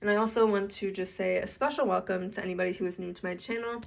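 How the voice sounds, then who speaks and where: A woman's voice: medium pitch, fairly even intonation, quick pace, gravelly. A young adult woman giving a casual tarot reading to camera, chatty and full of "okay?" check-ins, her voice a little congested from allergies. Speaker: tarot reader.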